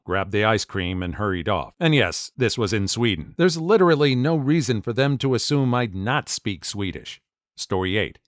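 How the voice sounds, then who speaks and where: A man narrating a series of short stories read from online posts, in an even reading voice. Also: medium pitch, dry recording, studio quality. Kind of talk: scripted story narration.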